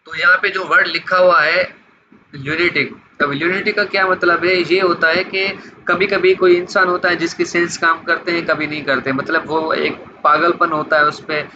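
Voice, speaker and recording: A man's voice: on the high side, steady tone, moderate pace. A man giving an explanatory law lesson, reading statutes aloud and explaining them in a repetitive, question-and-answer way. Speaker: law lecturer. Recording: dull lo-fi mic with light background noise.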